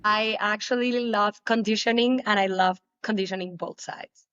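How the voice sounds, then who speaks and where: A young woman whose native language is Spanish, answering interview questions casually, with many halting restarts. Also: medium pitch, fairly even intonation, a bit bright, clean tone, no room sound, highs cut off. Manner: halting, repeats words, laughs often. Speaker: guest, pole dancer.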